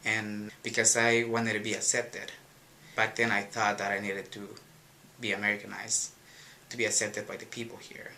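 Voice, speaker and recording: Young man, 19, Guatemalan Spanish accent; low, even, bright-toned testimony. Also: normal speed, slight background noise, low-quality recording. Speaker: youth program participant. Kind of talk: personal testimony.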